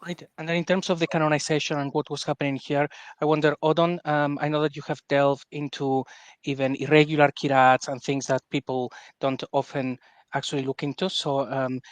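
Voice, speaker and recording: A relaxed, chatty man who speaks a little high. He hosts a panel discussion, and his mic sounds close and dry. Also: no room sound. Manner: relaxed, chatty, uses filler words. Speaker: host.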